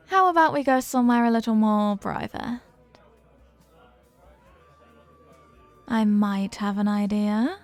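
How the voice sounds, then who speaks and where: A woman's voice: mid-range voice, no echo, natural voice. A woman playing a flirty, confident, playful stranger in an intimate roleplay, with a teasing, coy, sweet-talking manner. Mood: warm, inviting. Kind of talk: intimate roleplay audio acting.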